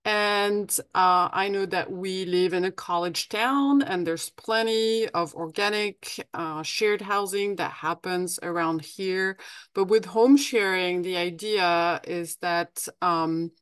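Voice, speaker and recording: A woman's voice: lowish voice, takes it slowly, fairly bright tone, smooth, dry recording. A woman from France with a French accent, giving a friendly, practical presentation over a video call with plenty of fillers and restarts. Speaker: guest presenter.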